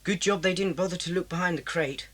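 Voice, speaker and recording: A woman voicing an eager, curious schoolboy adventurer, polite and expressive, in a children's audio drama. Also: quick pace. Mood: curious, patient. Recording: noisy cassette, vehicle rumble.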